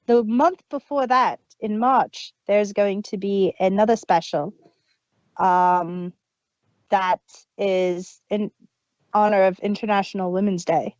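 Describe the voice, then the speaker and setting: A woman's voice: mid-range voice, slow pace, a little mellow, dry recording. Woman chatting on a podcast, kinda muffled dead-dry sound. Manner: chatty, sharing details. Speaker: podcast co-host. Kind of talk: casual fan discussion.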